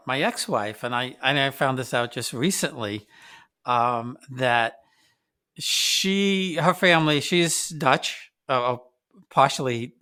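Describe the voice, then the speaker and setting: A man's voice: mid-range voice, sharp tone. A man hosting an interview, asking questions in a rambling, conversational way with many restarts. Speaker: podcast host.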